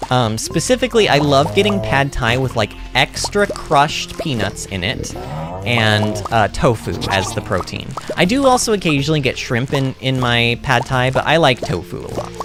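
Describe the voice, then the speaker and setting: A native English-speaking livestreamer talks casually to chat while gaming. The delivery is rambling and emphatic, with a very lively, swooping pitch. Music plays clearly behind the voice. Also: moderate pace. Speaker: livestreamer playing a farming game. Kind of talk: casual chatty livestream commentary, reading and answering chat.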